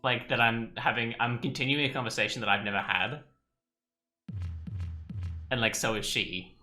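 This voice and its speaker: Very bright-voiced man doing game commentary. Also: mid-range voice, fairly even intonation, moderate pace, soft background music, poor audio. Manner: off-the-cuff, musing aloud. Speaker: gaming streamer. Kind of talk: casual let's-play commentary.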